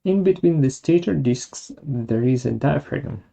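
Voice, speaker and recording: Software tutorial presenter, unhurried and halting, gravelly mid-pitched voice with a dark tone. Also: animated. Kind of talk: step-by-step software tutorial narration.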